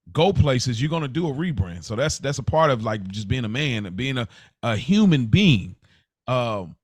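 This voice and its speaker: A man's voice: medium pitch, no room sound. Man talking reflectively in a dry room. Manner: reflective, explains at length with "like" and "you know what I mean". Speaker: podcast co-host. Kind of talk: casual conversation.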